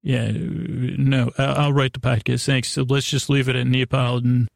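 A man hosting a podcast with a silly improvised monologue to an imaginary guest, halting and stammering as he meanders and restarts in mock earnest. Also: medium pitch, little pitch movement, vocal fry, dry recording, clean studio sound. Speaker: podcast host.

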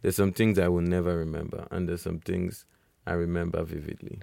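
Deep gravelly man, casual podcast chat. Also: brisk, no room sound, clean studio sound. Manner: relaxed, rambling with restarts and laughs. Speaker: podcast co-host.

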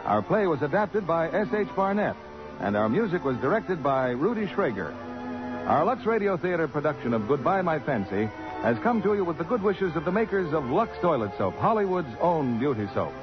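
A male announcer reads scripted copy in a 1950s radio style, with a fairly high, lively voice. The recording is dull and vintage, with music playing clearly behind him. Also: normal speed.

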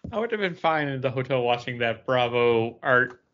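A man's voice: medium pitch, lively intonation, normal speed, highs cut off. A man talking casually as a podcast host, sharing personal anecdotes. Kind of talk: casual chat, telling anecdotes.

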